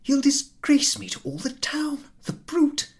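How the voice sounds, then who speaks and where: A man voicing Ahiniv, a nervous middle-aged host who frets about gossip, in breathy anxious whispers that squeak up high when upset. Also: unhurried. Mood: flustered.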